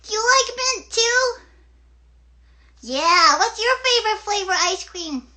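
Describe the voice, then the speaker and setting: A chatty, excitable little girl puppet for a children's show. The voice is extremely high and bright. The talk is unhurried, eager and rambling, just like a young child's. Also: heavily acted.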